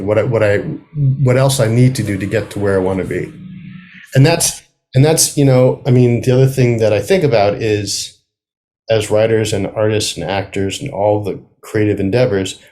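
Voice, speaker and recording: A middle-aged man speaking reflectively as a guest playwright in an interview, thoughtful and halting, often circling back to rephrase. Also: fairly low pitch, a little mellow.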